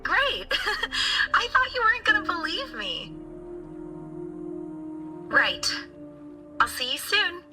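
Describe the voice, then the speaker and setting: Very high-pitched, eager student girl. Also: lively intonation, unhurried, long pauses, over music. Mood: cheerful. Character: bright, eager young female student.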